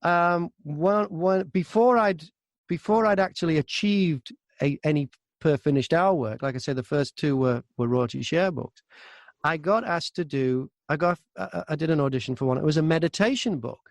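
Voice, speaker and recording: A man with a fairly high voice talks casually as an interview guest, recorded close and dry. He tells work stories like a practised narrator, slipping into bits of remembered dialogue. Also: normal speed, dry recording. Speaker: audiobook narrator and voice-over artist being interviewed. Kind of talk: casual interview chat, telling work anecdotes.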